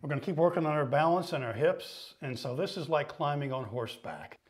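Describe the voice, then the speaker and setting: A man's voice: a little high, expressive, a little fast. Patient man coaching ski exercises. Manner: patient, explanatory, talks while moving. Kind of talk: exercise demonstration and explanation.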